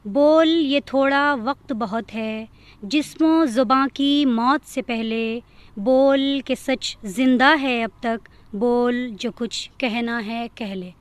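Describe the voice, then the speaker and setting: A woman's voice: a little high, takes it slowly, clean tone, dry recording. A woman giving a recitation of Urdu poetry.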